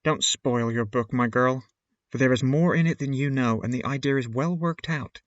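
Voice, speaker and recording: A man playing a thoughtful, high-minded father giving earnest advice in a period audio drama. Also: normal speed, no room sound, dull audio, lightly acted. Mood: gently advising. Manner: earnest, advising.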